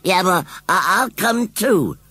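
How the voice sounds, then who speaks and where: A man voicing a grumbling rustic male scarecrow come to life, with slow country grammar. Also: high-pitched, very animated, sharp tone, breathy edge, no room sound, voice pushed up, full character voice. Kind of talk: storybook reading.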